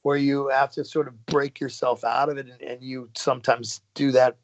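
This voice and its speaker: A man, a podcast guest, talking casually in a halting way, restarting and trailing off. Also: medium pitch, flat delivery. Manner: halting, restarts and trailing phrases with short laughs. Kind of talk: casual conversation. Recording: dull lo-fi podcast audio.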